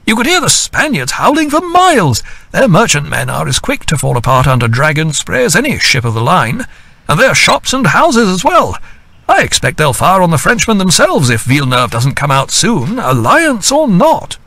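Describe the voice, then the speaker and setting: Guy doing a brisk courier captain giving a report in an audiobook, higher swooping voice. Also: huge pitch swings, gravelly, pushed and bright, dry recording, heavily acted. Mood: animated, pleased. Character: brisk male military courier captain bringing news. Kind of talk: audiobook character acting.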